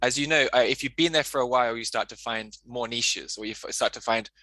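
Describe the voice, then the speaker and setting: A man talks on a headset in a loose, rambling way, often restarting his sentences as he hosts a casual podcast. His voice has a bright, forward edge. The sound is close and dry, with no room around it. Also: medium pitch, brisk, no echo. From English-speaking country. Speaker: podcast host and English tutor. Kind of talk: casual video call chat.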